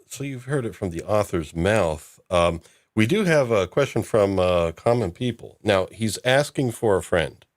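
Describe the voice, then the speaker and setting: A man's voice: on the low side, lively intonation, unhurried, no room sound, clean studio sound. A man hosting a casual podcast discussion, talking in an informal, rambling way. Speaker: host.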